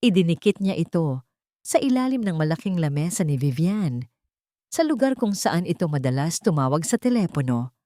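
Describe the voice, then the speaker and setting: Woman, Filipino accent, lowish pitch, dry close sound, dramatic scripted storytelling. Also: big pitch swings, moderate pace, dark tone, no room sound. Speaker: audiobook narrator.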